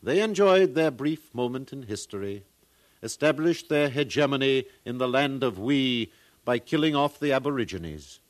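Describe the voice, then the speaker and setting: A man's voice: mid-range voice, lively intonation, normal speed, dry recording, close to an everyday voice. A man playing a pompous, solemn far-future archaeologist in a 1950s radio drama, lecturing like an orator. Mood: grave, oratorical.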